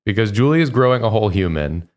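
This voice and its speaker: A man's voice: fairly low pitch, animated, fast, darkish tone. A tired man co-hosting a gaming stream, chatting casually and joking with lots of restarts.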